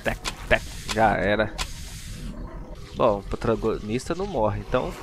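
A man's voice: lowish voice, lively intonation, normal speed. A young Brazilian man giving casual, slangy gameplay commentary as a channel host. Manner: colloquial, slangy asides to viewers.

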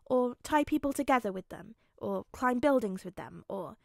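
A woman voicing a patient, gentle teenage boy in a story, speaking in a soft, careful, coaxing way. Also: on the high side, animated, moderate pace, creaky, no echo, studio quality. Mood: explaining.

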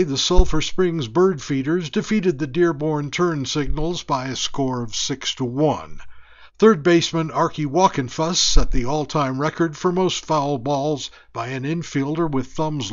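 A man playing a deadpan radio sports newsreader delivering scripted parody news. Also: fairly high pitch, muffled recording, close to an everyday voice.

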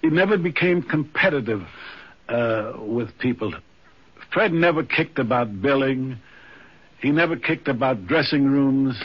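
A man's voice: medium pitch, animated, unhurried, breathy edge. A man giving a spoken tribute to a friend on an old radio program. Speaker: interviewee paying tribute to a friend. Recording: dull, band-limited 1950s radio recording.

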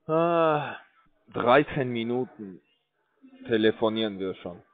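A man calling from a call center, rambling in a bored, crude, halting way. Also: medium pitch, expressive. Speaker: call center scam caller. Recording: dry tinny phone line.

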